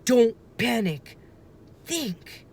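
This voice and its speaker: A woman voicing an anxious, excitable young boy in a children's storybook, with urgent exclamations. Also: on the high side, extremely slow, vocal fry, a little hiss or hum, voice pushed up, heavily acted. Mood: nervous, psyching up.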